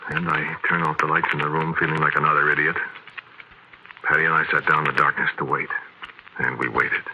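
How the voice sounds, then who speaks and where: An ordinary haunted man in an old radio horror play. His voice sits very low, and he tells his story in a hesitant, brooding way, doubtful yet uneasy. Clear static runs through the aged broadcast recording. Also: flat delivery, a little fast, sharp tone, highs cut off, full character voice. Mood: brooding narration. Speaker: narrator and lead actor. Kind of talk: 1940s radio horror acting, first-person narration.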